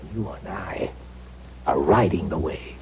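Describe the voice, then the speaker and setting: An actor playing a brisk, sly party official with a husky voice and persuasive, breezy manner in a 1970s radio drama. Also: male voice, sits low. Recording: muffled old radio recording.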